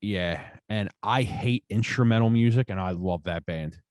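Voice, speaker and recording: A man's voice: on the low side, moderate pace, a little mellow, dry recording, studio quality. A man co-hosting a podcast in casual chat, blunt and emphatic, swearing freely. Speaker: podcast co-host.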